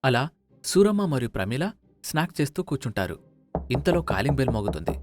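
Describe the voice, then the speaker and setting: A man's voice: medium pitch, sing-song, fairly quick, a little mellow, no room sound, soft background music. A man narrating a scripted moral story as its storyteller.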